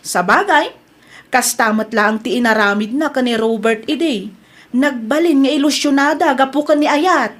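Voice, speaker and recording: A woman's voice: sits high, moderate pace. A woman with an Ilocano accent voicing a sensible female friend who teases and chides in an audio drama. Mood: teasing.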